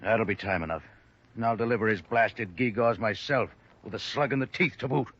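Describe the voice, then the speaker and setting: Stocky irish hothead over forty, raspy mid voice, defiant and loyal, old muffled radio drama. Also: male voice, on the low side, brisk, a bit bright. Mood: gruff, protective. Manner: blustering, blunt, defiant. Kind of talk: 1950s radio drama acting. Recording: dull restored old radio recording.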